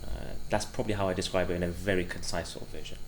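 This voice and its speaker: A man in his twenties, from Afghanistan, with a Welsh accent, talking through his life in an interview in a reflective way, often pausing with fillers. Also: low-pitched, little pitch movement, a little hiss or hum. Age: 20s. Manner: reflective, hesitant, many fillers. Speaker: guest. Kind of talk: casual interview.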